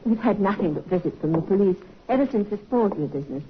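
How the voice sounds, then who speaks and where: An actress playing a talkative, fussy housewife in an old radio drama. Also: female voice, mid-range voice, expressive, quick pace, close to an everyday voice. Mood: chatty. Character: chatty middle-class English housewife. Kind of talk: 1950s radio acting. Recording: noisy muffled vintage radio recording.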